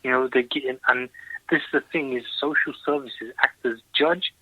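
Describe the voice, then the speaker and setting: A man's voice: mid-range voice, steady tone, normal speed, no echo. A man calling in to a radio show, talking in an earnest, emphatic way and repeating his points. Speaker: caller. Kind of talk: phone-in call to a radio show. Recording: tinny phone line.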